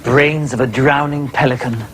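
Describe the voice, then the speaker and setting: A man's voice: mid-range voice, steady tone, normal speed, fairly bright tone, slight background noise, natural voice, rough recording. A man playing an exasperated, pompous army officer who drawls and goes sing-song.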